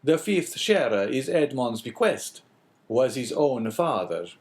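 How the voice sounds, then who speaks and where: A man reading an audiobook voices a calm, earnest priest in a solemn, measured way. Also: medium pitch, voice pushed up. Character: calm, earnest middle-aged male priest.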